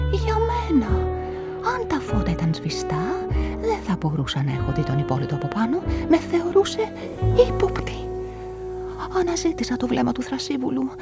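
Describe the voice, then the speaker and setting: A woman playing a whispering anxious narrator. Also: a little high. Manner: hushed, whispery inner monologue. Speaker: narrator and witness. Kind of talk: radio play narration. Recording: radio play with music bed.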